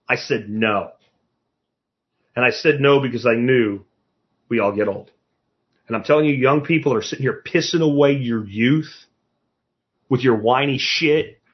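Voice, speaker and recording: A man's voice: mid-range voice, highs cut off. A man in his fifties giving a blunt, profane motivational talk as a podcast host, lecturing his listeners. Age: 50s.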